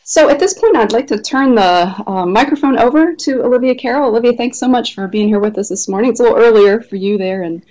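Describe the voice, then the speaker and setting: A woman's voice: a little high, fast, hardly any pauses, poor audio. A woman hosting a webinar, introducing the guest speaker with enthusiasm and a few uhs and ums. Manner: enthusiastic, warm introduction with fillers. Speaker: webinar host. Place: Ohio.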